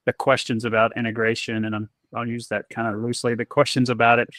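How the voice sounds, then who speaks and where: Male podcast host, mid-pitched, casual and rambling, dry close sound. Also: fairly bright tone, dry recording. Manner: rambling, friendly, many restarts. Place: Texas.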